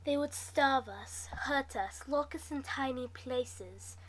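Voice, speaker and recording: A woman's voice: takes it slowly, a little hiss or hum, rough recording. A woman voicing a wounded orphan girl in an amateur story reading, earnest and anguished as she confides. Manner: earnest, anguished, higher than the narration.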